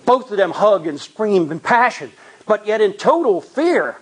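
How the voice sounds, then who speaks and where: A man telling a spooky tale live in a theatrical, suspenseful way, with deliberate dramatic pauses. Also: high voice, sing-song, husky, poor audio. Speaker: storyteller at a Toastmasters tall tales event.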